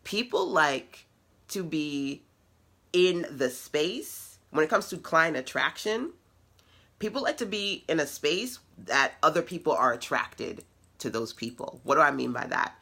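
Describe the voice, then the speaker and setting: A middle-aged woman with a deep voice, hosting a casual online web class and encouraging her audience in a conversational, playful way. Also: lively intonation, measured pace, gravelly, sharp tone, breathy edge. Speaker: web class host and business coach.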